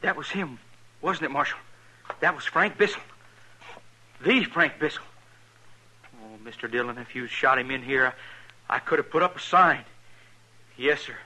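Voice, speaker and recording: An actor playing an excitable, fawning frontier barber in a 1950s radio western, gushing and repeating himself. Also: male voice, mid-range voice, normal speed, pauses often, a little hiss or hum, low-quality recording. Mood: awestruck excitement. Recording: vintage 1950s radio recording.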